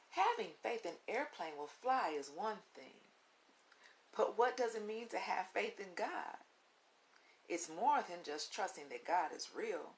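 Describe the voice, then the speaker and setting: Woman, children's read-along host, lively swooping intonation, creaky edges, deliberate emphatic phrasing, faint hiss. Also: medium pitch. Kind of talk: children's picture book read-aloud. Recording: audible hiss and background noise.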